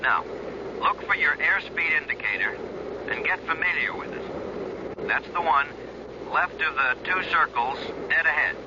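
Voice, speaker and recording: Male actor as calm colonel, coaxing flight instructions, halting delivery, fairly high voice, muffled, engine drone. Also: noisy background, close to an everyday voice. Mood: patiently instructing. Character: calm, coaxing air force colonel guiding a novice pilot. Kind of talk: radio drama acting. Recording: muffled radio recording, aircraft drone behind.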